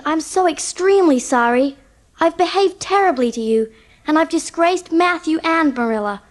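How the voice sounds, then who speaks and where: A woman's voice: high-pitched, dry recording, lightly acted. A woman playing a fiery, imaginative eleven-year-old orphan girl in a dramatised audiobook, speaking earnestly and dramatically in formal words. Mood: theatrically penitent.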